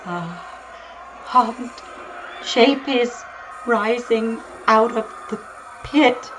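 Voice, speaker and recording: High-voiced, halting radio newswoman played by an actress. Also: fairly even intonation, music in the background. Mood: tense, hushed. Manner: halting, dramatic, broken phrases. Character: female radio field reporter, excitable, overwhelmed eyewitness to invasion. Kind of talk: 1930s radio drama acting, breathless live commentary. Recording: noisy audio with constant ambience.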